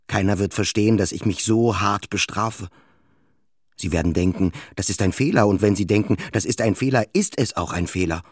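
A despairing father in a radio play, with a very low voice. He confesses in short, halting phrases that circle back on themselves, intimate and full of self-loathing. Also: breathy edge, no room sound, studio quality. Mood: self-loathing, obsessive. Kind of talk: intimate first-person radio play monologue.

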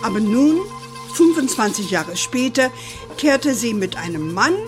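Slow, deliberate storytelling grandmother. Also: fairly high pitch, very animated, music in the background. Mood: narrating. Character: grandmother Josephine, storytelling older woman, fond and dramatic. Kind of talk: radio play storytelling for children.

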